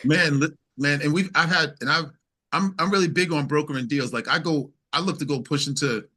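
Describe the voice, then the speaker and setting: A man with a fairly high, sharp-edged voice talks as a singer-songwriter being interviewed. He is conversational but emphatic, often restating a phrase to drive it home. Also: brisk. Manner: emphatic, repeats phrases as he builds points.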